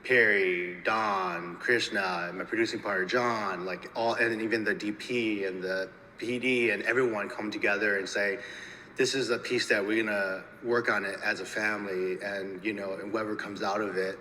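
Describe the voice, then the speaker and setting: A man with a low, forceful voice speaks as a filmmaker at a festival interview. He talks haltingly and doubles back on words. The sound is echoey, like a hall, with faint noise around him. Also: fairly even intonation. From United States (Minnesota). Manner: halting, repeats words, peppers talk with "you know". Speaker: filmmaker and actor.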